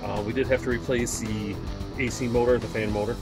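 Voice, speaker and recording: A man in his forties tells car stories in a loose, rambling way, filling the gaps with "um" and starting over mid-sentence. His voice sits at an ordinary pitch, first inside a car and then out in a driveway, with music running clearly underneath. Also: fairly even intonation, brisk, poor audio. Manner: rambling storyteller, fillers and restarts. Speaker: car owner showing his cars. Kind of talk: casual car chat. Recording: background music under the talk.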